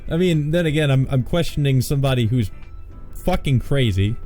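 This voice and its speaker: A man's voice: mid-range voice, lively intonation, a little fast, mellow, faint music behind. A man narrating a visual novel as a let's player, reading the text and dropping blunt, sardonic casual asides. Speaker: let's play host and reader.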